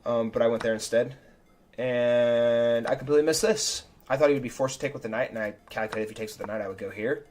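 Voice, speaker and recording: A young man, a chess streamer, talking casually and self-critically through his own game, his voice a little raspy. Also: mid-range voice, quick pace, darkish tone. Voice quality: slightly raspy. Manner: chatty and self-critical, thinking aloud move by move. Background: faint background music.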